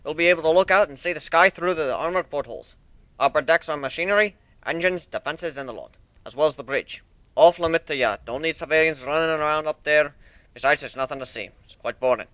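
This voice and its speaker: Here is a boastful minotaur airship captain, played by a man reading a story aloud, hearty and brash. The voice is raised and forceful, with folksy salesman patter and a bright edge. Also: on the high side, brisk, dry recording, voice pushed up, natural voice. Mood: brisk, instructing. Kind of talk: dramatic fan fiction reading.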